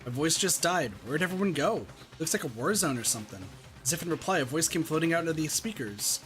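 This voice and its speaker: A man's voice: fairly high pitch, lively intonation, a little fast, fairly bright tone. A man playing an anxious, earnest teenage schoolboy, dramatically narrating a visual novel. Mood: distressed. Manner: dramatic, intense. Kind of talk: reading visual novel aloud in character.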